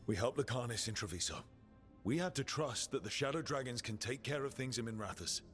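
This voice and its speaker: A man's voice: mid-range voice, brisk, a bit bright, soft background music, natural voice. A man playing a resolute, level-headed young team leader in a fantasy video game, decisive and earnest. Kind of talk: video game dialogue acting.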